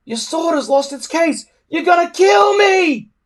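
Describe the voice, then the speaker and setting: A man's voice: very high-pitched, expressive, unhurried, shouting, pitched above the performer's own voice. A man voicing an anxious, earnest young Viking boy in a storybook, urgent and pleading. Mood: alarmed, pleading.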